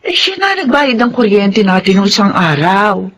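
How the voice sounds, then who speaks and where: A woman's voice: mid-range voice, sing-song, normal speed, a little hiss or hum, natural voice. A woman playing a caring, fretful mother of a poor family in a Filipino radio drama. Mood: worried. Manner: fretful, sighing exclamations.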